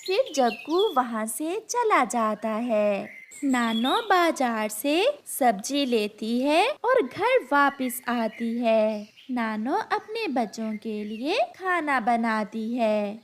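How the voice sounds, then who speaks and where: Casting: female storyteller for kids' tales, high-pitched voice, slow scripted delivery. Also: big pitch swings, slight background noise. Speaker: narrator. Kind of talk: scripted children's story narration.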